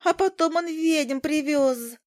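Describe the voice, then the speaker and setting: A woman voicing an excitable, gossipy tiny female fly in an audiobook, in rapid, squeaky chatter. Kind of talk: audiobook character voice.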